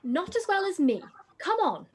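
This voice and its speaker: A woman playing a brash, boy-mad teenage girl in a period radio play, excitable and cheeky. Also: very high-pitched, normal speed.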